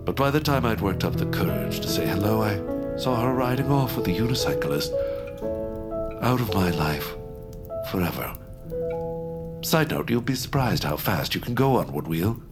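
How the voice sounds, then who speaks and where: Deep, swooping theatrical baron, gloomy and pompous, audio drama, music underneath. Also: male voice, expressive, moderate pace, pauses often. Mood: wistful, heartbroken. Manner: pompous, melodramatic, formal. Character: gloomy, lovesick, theatrical baron who scorns good times.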